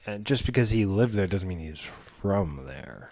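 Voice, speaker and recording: A man co-hosting a podcast in casual chat, with a joking, deadpan teasing manner. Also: low voice, a little slow, dry recording. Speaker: podcast co-host. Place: indoor room shared with co-host.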